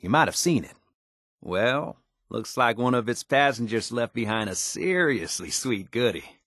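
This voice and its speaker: An actor playing a folksy, wisecracking American tinkerer in a video game, talking in casual slang. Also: male voice, mid-range voice, expressive, a little slow, fairly bright tone, no room sound, clean studio sound. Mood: eager, persuasive. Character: folksy, wisecracking male tinkerer and scrappy settler ally.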